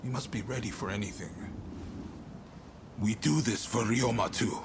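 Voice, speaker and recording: A man's voice: lowish voice, normal speed, background noise, natural voice. A man playing a reflective, wry mentor in a samurai video game, speaking in a conversational, musing way. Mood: probing, resolute. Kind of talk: video game cutscene acting.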